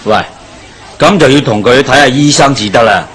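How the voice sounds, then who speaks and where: A nosy, teasing village boss in a Cantonese radio play from Hong Kong. His voice is husky and mid-pitched, with quick exclamations of interest. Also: fairly bright tone, a faint crowd. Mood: curious, teasing. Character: talkative, inquisitive village shop boss. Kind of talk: radio drama acting.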